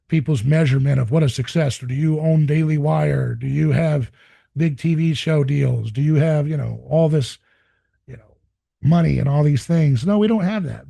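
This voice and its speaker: A hoarse man hosting his own show, talking earnestly to viewers in a loose monologue full of restarts. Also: fairly high pitch, warm tone, dry recording. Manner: earnest, repeats words and restarts often. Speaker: podcast host.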